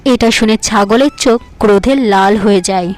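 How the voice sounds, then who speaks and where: A woman's voice: medium pitch, normal speed. A woman narrator reading a scripted fairy-tale cartoon story. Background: music.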